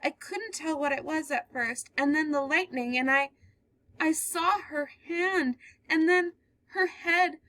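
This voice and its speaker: A woman reading a play puts on a terrified young woman who has fled through a storm, her voice pitched very high and pushed loud. She pleads in breathless, sobbing bursts. The read-aloud play gives her no break from fear. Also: moderate pace, dry recording, rough recording. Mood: frightened.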